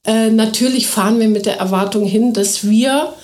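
A woman who runs a kindergarten, answering radio interview questions eagerly and fluently, often filling pauses with short hesitation sounds. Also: fairly high pitch, darkish tone. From Thuringia, Germany. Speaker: kindergarten director. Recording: echoey room.

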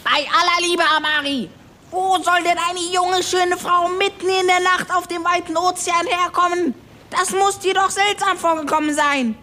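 A woman's voice: very high-pitched, fairly even intonation, normal speed, bright, forceful tone. A woman voicing a grumpy little male ship's kobold in an audio story, scolding and emphatic like an exasperated know-it-all. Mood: lecturing. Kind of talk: acted German audio story.

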